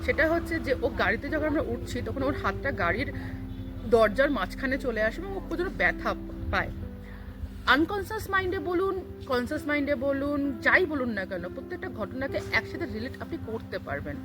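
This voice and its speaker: A woman's voice: sits high, expressive, moderate pace, low-quality recording. A woman tells a personal story informally, mixing in English words. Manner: mixes Bengali with English words. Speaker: guest sharing a personal ghost story. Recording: music behind the voice. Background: music.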